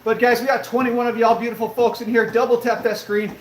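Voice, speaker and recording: A man's voice: very high voice, level tone, brisk. A man in his late thirties hosting a live stream from his treadmill, answering viewer comments in an upbeat, motivational way. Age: 30s. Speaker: livestream host. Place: home room with a treadmill, indoors. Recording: roomy echo, faint background noise.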